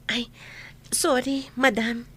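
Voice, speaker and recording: A woman's voice: fairly high pitch, level tone, slow pace, fairly bright tone, breathy edge, full character voice. A woman playing a polite, meek young country housemaid in an Ilocano radio drama, modest and deferential. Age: young adult.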